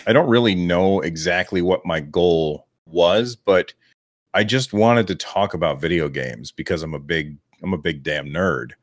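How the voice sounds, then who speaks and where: Deep-voiced man, dry close sound. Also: measured pace, no room sound. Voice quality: deep radio voice. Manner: rambling, self-deprecating, laughs often. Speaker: guest podcaster. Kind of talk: relaxed interview talk.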